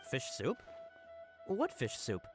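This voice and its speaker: A man's voice: medium pitch, huge pitch swings, slow pace. A man voicing an earnest, anxious young male subordinate in a video game, eager and apologetic. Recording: game audio with music under.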